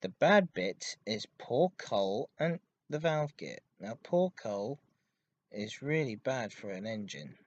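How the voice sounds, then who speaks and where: A man hosting a hobby podcast, giving an informative enthusiast monologue in a halting way with stressed intensifiers. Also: mid-range voice, animated, highs cut off. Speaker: podcast host. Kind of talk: informative enthusiast monologue about railway history.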